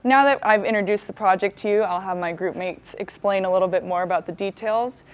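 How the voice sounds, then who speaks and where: A woman's voice: mid-range voice, fairly quick. A young woman student hosting a casual walkthrough of a class project in a university lab, talking upbeat and friendly. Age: young adult. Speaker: student host of the video. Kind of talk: casual presenting. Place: university engineering lab, indoors.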